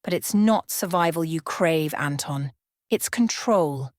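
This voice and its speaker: A serene young vampire woman speaks softly and calmly, with a quiet edge beneath, as a woman reader puts her on. Her voice sits low and is heard close and dry. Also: unhurried, dry recording. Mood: stern. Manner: soft, calm, almost playful. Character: serene young female vampire, calm and unsettling.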